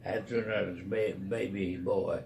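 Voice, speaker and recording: A man, talking casually to family in a halting, rambling, folksy and playful way. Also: fairly low pitch, steady tone, creaky, a little mellow, a little hiss or hum. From Oklahoma, United States. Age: 90s. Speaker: retired preacher, grandfather of the vlogger.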